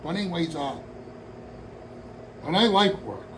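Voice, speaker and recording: A man in his early sixties, his voice pitched a little high, talks to viewers in a loose, wandering way, circling back and restarting his thoughts as he goes. He is in a room at home, and a steady air conditioner hum sits clearly under his voice. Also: unhurried. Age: 60s. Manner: meandering, heartfelt, with frequent restarts and trailing asides. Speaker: hobby vlogger. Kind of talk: rambling casual talk to camera.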